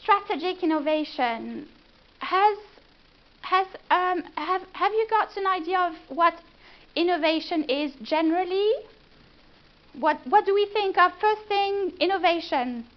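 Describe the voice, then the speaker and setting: High-voiced French woman presenting. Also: animated, measured pace. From France. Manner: chatty, engaging, asks the room questions. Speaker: business consultant presenting. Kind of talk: live presentation to an audience. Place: event room before a live audience. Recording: dull lo-fi event recording.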